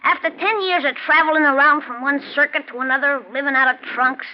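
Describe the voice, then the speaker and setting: Woman playing a high-pitched, cocky little showman. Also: fairly even intonation, brisk, close to an everyday voice. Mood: earnest. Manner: breezy, slangy showman patter. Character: cocky, brash little male vaudeville acrobat, friendly. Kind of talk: 1940s radio acting. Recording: muffled, noisy 1940s radio recording.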